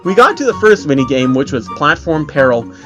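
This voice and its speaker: A man's voice: on the high side, very animated, fairly quick, lo-fi sound. A man giving a mocking, amused dramatic reading of an online horror story as its narrator. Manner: amused, exaggerated, often breaking character. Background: faint music.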